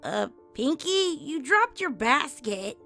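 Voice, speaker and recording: Casting: brash young female cartoon pegasus, confident and protective, very high raspy voice with lively swings. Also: slow, exaggerated voice. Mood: puzzled. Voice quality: raspy, creaky. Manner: confident, reassuring. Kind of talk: cartoon fan fiction character reading. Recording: music under the voice.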